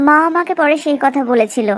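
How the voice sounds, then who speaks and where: Woman acting an earnest young cartoon heroine, very high-pitched. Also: fairly quick, fairly bright tone. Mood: bewildered. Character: earnest, self-sacrificing rich young woman. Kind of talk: cartoon melodrama dialogue.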